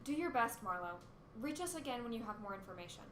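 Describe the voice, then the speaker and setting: A woman playing a brisk radio host handling a crisis in a radio play, speaking directly. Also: fairly high pitch, animated, fairly bright tone. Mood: businesslike. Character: brisk, alarmed female radio anchor handling breaking crisis. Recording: echoey room, faint noise.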